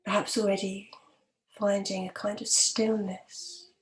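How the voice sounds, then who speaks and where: A woman leading a guided relaxation meditation as a hypnotherapist, calm, gentle and measured, with long pauses and a soft, sometimes breathy voice. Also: mid-range voice, poor audio.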